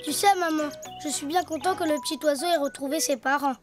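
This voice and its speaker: Woman doing a super high squeaky little cartoon donkey kid, curious and eager, faint music under it. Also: fast, lightly acted. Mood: cheerful. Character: cheerful little boy donkey.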